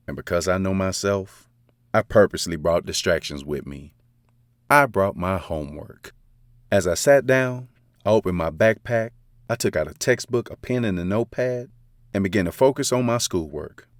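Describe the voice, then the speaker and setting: A man's voice: sits low, dry recording. A man narrating a first-person romance story in a casual, confiding, slangy way. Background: faint background music.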